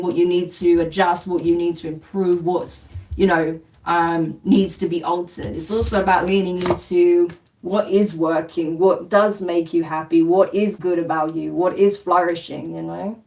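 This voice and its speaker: A woman's voice: lowish voice, fairly even intonation, measured pace, darkish tone, far from the mic, rough recording. A woman from London with a slight New Zealand twang, giving a reassuring live spiritual reading to viewers, often checking in with "okay?" and "right?". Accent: London English with a slight New Zealand twang. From England. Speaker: spiritual reader. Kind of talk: live-stream collective tarot reading.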